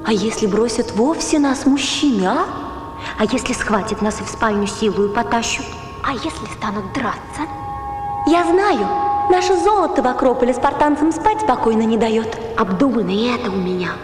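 An actress performs a bold Greek heroine of verse drama with a high, declamatory voice. She is defiant and mocking, rallying women against war. Music plays behind her in a reverberant hall. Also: expressive, normal speed, lightly acted. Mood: bold, scheming. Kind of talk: theatrical verse drama acting.

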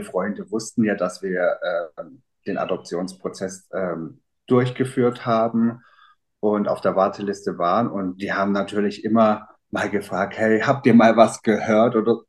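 Low-voiced man in his thirties chatting. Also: measured pace. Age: 30s. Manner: relaxed, rambling with many fillers. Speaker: interview guest, father. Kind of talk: casual interview answers.

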